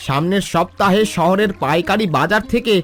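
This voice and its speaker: A man voicing a loyal, encouraging village neighbour in an animated story. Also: sits high, moderate pace. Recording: voice over background music.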